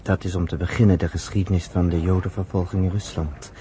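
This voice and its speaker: A man's voice: deep voice, flat delivery, fast, warm tone, natural voice. An actor in a Dutch radio play voicing a friendly, earnest man who explains things thoughtfully and patiently. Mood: earnest, narrating. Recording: noisy old radio play recording.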